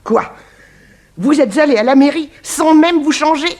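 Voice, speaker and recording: A woman's voice: high-pitched, normal speed, a little mellow, close to an everyday voice. An actress playing a haughty, disapproving middle-aged mother-in-law with a pompous, sarcastic delivery in 1960s French radio drama. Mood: icy scorn.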